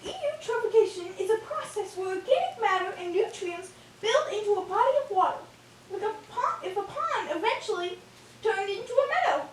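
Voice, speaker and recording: Puppet-show schoolteacher lady, played by a woman: extremely high, animated, question-prompting, captured far from the mic in an echoey room. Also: normal speed. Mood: instructive. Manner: praising, question-prompting classroom delivery. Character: chirpy puppet schoolteacher lady leading a science class. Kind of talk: amateur scripted puppet show. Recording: echoey room, distant mic, faint noise.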